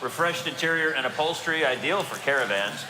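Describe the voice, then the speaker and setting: A man presenting auction lots, reading out the details in a brisk, polished way. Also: a little high, lively intonation. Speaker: auction announcer. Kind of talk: lot presentation. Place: large auction hall. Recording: echoey hall with clear noise.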